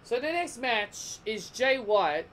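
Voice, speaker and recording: A man giving a casual, rambling wrestling review, often restarting his sentences, his voice croaking. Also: extremely high pitch, sing-song, takes it slowly, fairly bright tone, a little hiss or hum. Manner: rambling, restarts and corrects himself.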